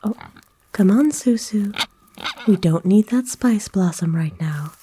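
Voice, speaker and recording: A woman voicing a kind, nurturing forest witch in a soothing, folksy, unhurried breathy whisper for an ASMR roleplay. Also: medium pitch, expressive, background noise. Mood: gently coaxing. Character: kind, nurturing forest witch, playful and gently old-fashioned. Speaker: ASMR voice actor.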